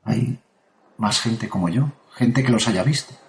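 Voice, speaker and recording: A man playing a frightened psychiatric patient, gravelly. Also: mid-range voice, a little slow. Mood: shaken. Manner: anxious, shaken, questioning. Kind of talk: audio drama acting. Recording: roomy echo.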